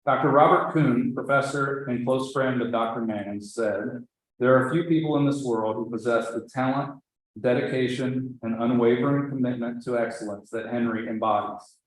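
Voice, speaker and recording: A man's voice: mid-range voice, fairly even intonation, normal speed. Man, award presenter, reading a citation, easy asides, banquet hall, heavy echo through a PA. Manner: reads a long citation, with asides and restarts. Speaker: associate dean presenting an award. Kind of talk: ceremony introduction speech.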